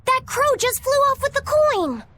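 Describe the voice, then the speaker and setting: A woman's voice: very high voice, big pitch swings, unhurried, sharp tone, exaggerated voice. A woman voicing an earnest, innocent young boy in a video game. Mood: eager. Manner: earnest, childlike.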